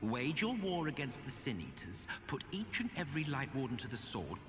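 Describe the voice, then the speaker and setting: Theatrical, sly immortal villain, swooping pitch. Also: male voice, wildly swooping pitch, moderate pace, a bit bright. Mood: disdainful, weary. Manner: pompous, sardonic, drawling delivery. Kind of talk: dramatic video game voice acting. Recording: long hall reverb, music behind.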